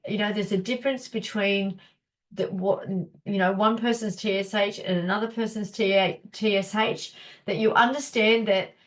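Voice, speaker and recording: A woman's voice: mid-range voice, fairly even intonation, normal speed, low-quality recording. A woman, a naturopath hosting a casual live teaching session, chatty and encouraging, her nose stuffy and blocked up. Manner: chatty, encouraging, many fillers.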